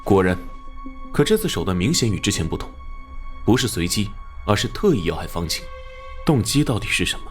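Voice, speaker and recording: A man playing a stern, level-headed police investigator in an animated drama, speaking in a measured, official, questioning way. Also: on the low side, faint music behind.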